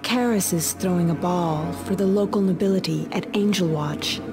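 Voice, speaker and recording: Low-voiced, solemn pagan leader played by a woman. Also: close to an everyday voice. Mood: businesslike, urgent. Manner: measured, formal, grave. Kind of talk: video game cutscene acting. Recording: music behind voice.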